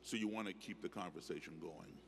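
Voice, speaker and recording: A man's voice: low voice, a little fast, creaky. A middle-aged man speaking emphatically as a panelist, handheld mic on a stage in a large hall. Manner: emphatic, preacherly cadence. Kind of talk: panel discussion. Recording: hall reverb, faint background noise.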